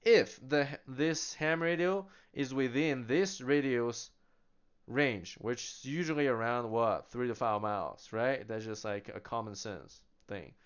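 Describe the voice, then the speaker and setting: A man's voice: mid-range voice, unhurried, clean tone. A man casually demonstrating walkie-talkies, a chatty talker who asks rhetorical questions and answers them himself. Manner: chatty, with small laughs and restarts. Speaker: product demonstrator. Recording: slightly dull lo-fi sound.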